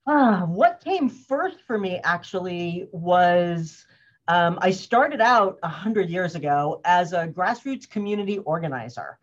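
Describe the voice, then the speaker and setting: A woman in her fifties from the United States speaks as an interview guest, earnest and thoughtful, often laughing and pausing on fillers. Her voice sits fairly low. The audio is dull and lo-fi. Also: animated. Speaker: guest, organizational wellness consultant. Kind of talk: conversational interview answers. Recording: muffled lo-fi audio.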